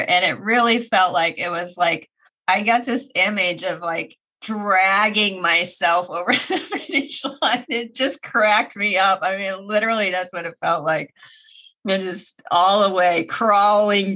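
A woman in her forties co-hosting a podcast, her voice a little raspy after an illness, chatting in an animated way and laughing often. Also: mid-range voice. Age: 40s. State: slightly raspy from recent illness. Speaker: podcast co-host. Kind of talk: casual chat.

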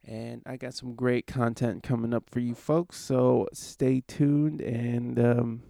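A man with a cold, hoarse, talking in a rambling, easygoing way as he hosts a casual podcast. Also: mid-range voice, level tone, slow pace, warm tone, dry recording, studio quality. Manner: rambling, easygoing, many fillers. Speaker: podcast host.

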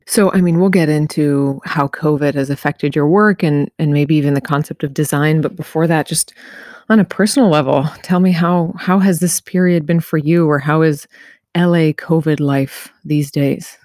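A woman's voice: sits low, normal speed, a little mellow, dry recording, clean studio sound. A woman hosting an interview podcast, talking in a conversational, reflective way with frequent rephrasing. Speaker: podcast host.

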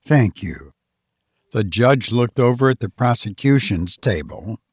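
A man reading scripted audiobook narration in a measured, deliberate manner. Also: mid-range voice, lively intonation, husky, no room sound, studio quality.

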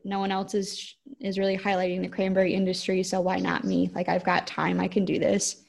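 A woman's voice: gravelly, a little mellow. A woman, co-hosting a podcast in a rambling, casual way with many fillers. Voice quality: squeaky voice. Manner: rambling, lots of "like" and "um". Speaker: podcast co-host, cranberry grower. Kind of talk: casual chat.

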